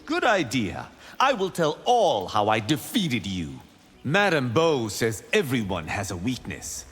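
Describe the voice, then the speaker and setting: An actor playing a cocky, boastful young martial arts fighter in a fighting game. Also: male voice, medium pitch, huge pitch swings, unhurried, fairly bright tone, slightly rough, long reverb tail, full character voice. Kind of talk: fighting game character dialogue.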